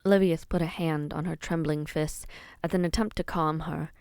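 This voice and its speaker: A woman's voice: low-pitched, fairly even intonation, moderate pace, darkish tone, clear, resonant tone, dry recording, studio quality. A woman reading scripted dramatic story narration as the narrator of an audio roleplay.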